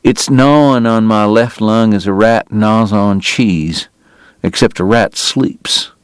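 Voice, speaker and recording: A man's voice: medium pitch, expressive, measured pace, dry recording, pitched above the performer's own voice, natural voice. A man doing a bitter, ailing frontier dentist. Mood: pained. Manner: pained, proud, formal diction breaking into anger.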